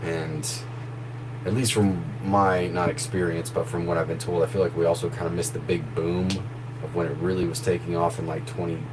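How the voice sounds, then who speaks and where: A man with a very deep, low voice talks loosely on a live stream, drifting from thought to thought and laughing easily. A steady hum runs clearly underneath the recording. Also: normal speed. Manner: relaxed, rambling, laughs easily. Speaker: horror story narrator and voice actor. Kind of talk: casual live stream chat. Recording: noisy background hum.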